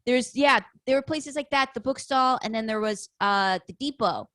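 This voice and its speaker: A woman's voice: a little high, normal speed, a bit bright, no room sound, studio quality. A young woman from Illinois, a podcast guest, reminiscing about her hometown in a rambling, giggly way with many fillers. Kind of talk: casual chat.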